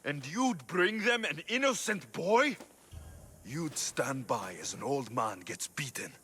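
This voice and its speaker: Brief: blunt, commanding Greek mercenary, bright forceful voice, lively pitch, faint music behind. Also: male voice, slow pace, slight background noise, heavily acted. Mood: stern. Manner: firm, interrogating, blunt. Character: hard-edged young male Greek mercenary, commanding. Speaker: player character, mercenary. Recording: game score underneath.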